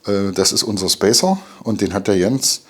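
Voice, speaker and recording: A man's voice: on the low side, normal speed, a bit bright. A middle-aged man casually unboxing machine parts in a home workshop, chatty and enthusiastic, with lots of fillers. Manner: chatty, enthusiastic, frequent fillers and tag questions. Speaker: video maker building a CNC mill.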